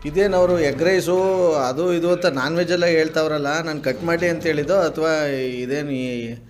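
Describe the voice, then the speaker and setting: A man's voice: a little high, brisk, soft background music. A man doing a prank phone call in casual, colloquial talk, insistent and pleading. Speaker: radio host making prank calls.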